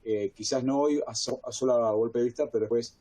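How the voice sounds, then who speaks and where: A man's voice: mid-range voice, fairly even intonation, brisk, warm tone. A man from Argentina with an Argentine accent, moderating a technical webinar in an enthusiastic, rambling way. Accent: Argentine Spanish.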